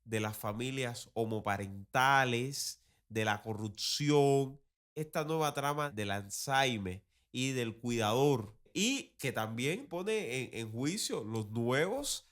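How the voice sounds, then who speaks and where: A man's voice: mid-range voice, lively intonation, slow, a bit bright. Cuban man in conversational commentary. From Cuba. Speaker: commentator on radio and television soaps.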